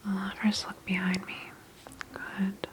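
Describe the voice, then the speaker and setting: Slow, whispering woman in ASMR. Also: medium pitch, monotone, slight background noise. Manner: whispery, gentle, unhurried personal attention. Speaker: ASMR performer playing a doctor. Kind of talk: soft-spoken and whispered ASMR medical roleplay.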